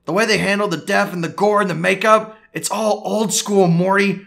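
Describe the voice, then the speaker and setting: A brash old scientist grandfather for a cartoon audio drama. The voice is high and strained, with heavy gravel and a sharp, forceful edge. He is blunt and boastful. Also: fairly even intonation, normal speed. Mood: excited.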